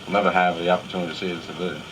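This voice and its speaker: Deep-voiced young American soldier, noisy 1940s record. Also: male voice, little pitch movement, racing. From Massachusetts, United States. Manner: stiff, respectful, rehearsed-sounding. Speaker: soldier being interviewed. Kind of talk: 1940s radio interview answers. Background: record surface noise.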